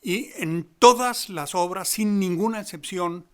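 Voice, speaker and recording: A man's voice: high voice, unhurried, a bit bright, clear, resonant tone. A Colombian man talking as an expert in an interview, explaining in a discursive, emphatic storytelling way. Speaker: expert commentator, theatre researcher.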